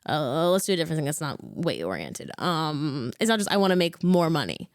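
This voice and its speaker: A woman's voice: low-pitched, fairly quick, no echo. Chatty twenties woman, dry close sound. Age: 20s. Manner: rambling, self-correcting, animated when excited. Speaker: guest, actor and comedian. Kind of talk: casual interview chat.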